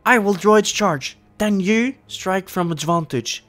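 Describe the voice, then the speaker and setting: A man voicing a plucky, loyal animated skeleton boy who calls out in yells in a fantasy audio play. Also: high-pitched, a little slow, faint music behind, voice pushed up. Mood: urgent, brave. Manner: yelling, exclamatory.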